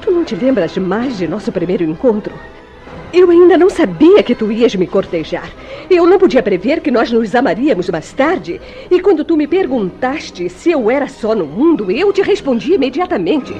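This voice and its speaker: Defensive wife, Brazilian accent, slightly high voice, very animated intonation, theatrical protesting, radio drama, faint music. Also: female voice, moderate pace, highs cut off. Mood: earnest, pleading. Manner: theatrical, pleading, protesting delivery. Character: defensive wife pleading against jealous accusations. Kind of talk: radio soap opera acting. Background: faint music behind the voice.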